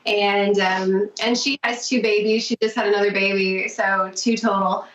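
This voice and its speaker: A woman's voice: medium pitch, steady tone, moderate pace, fairly bright tone. Gushing woman on distant, echoey audio. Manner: gushing, grateful, talkative. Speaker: podcast guest, podcaster. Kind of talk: casual interview chat. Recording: distant, roomy, muffled remote audio.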